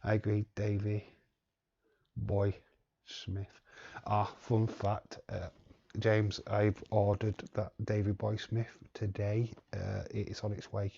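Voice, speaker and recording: Man host, low husky voice, slow and rambling, live-stream chat feel. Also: completely flat delivery, mellow, dull audio. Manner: rambling, reads chat aloud, chuckles often. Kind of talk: casual live watch-along chat.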